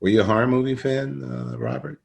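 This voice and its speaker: A man's voice: medium pitch, steady tone, brisk, vocal fry. A man in his sixties interviewing casually, rambling and repeating his phrases as he starts. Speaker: podcast interviewer.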